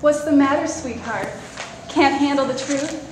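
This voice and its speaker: Lady reading a brassy snide actress gal, high voice, super echoey stage. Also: a little slow. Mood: taunting. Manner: brassy, sarcastic, condescending. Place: theater stage before a live audience. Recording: very echoey stage, faint room noise.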